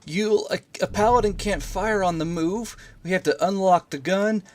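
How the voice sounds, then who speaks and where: A man's voice: sits high, normal speed, pitched above the performer's own voice, close to an everyday voice. A man voicing a panicky, flustered young male elf gunner in an audiobook, yelping and exclamatory. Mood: anxious protesting. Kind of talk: audiobook character dialogue.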